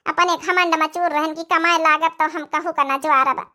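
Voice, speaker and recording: A woman acts out an emotional young village wife and mother in a cartoon. Her voice is high and piercing, with effusive pleading and an Awadhi accent. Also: steady tone, moderate pace, sharp tone. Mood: tearful pleading.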